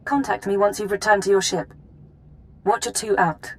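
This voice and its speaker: An efficient intelligence officer, played by a woman in a video game. Her voice is mid-pitched, her delivery formal and apologetic. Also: fairly even intonation, moderate pace, close to an everyday voice. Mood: apologetic, brisk.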